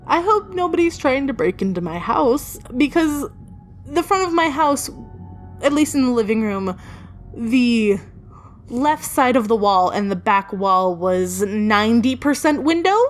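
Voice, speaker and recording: A woman telling a personal story in a casual, informal way, with dramatic pauses for suspense and acted-out thoughts. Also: a little high. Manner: casually sweary. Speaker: storyteller, video creator. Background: music.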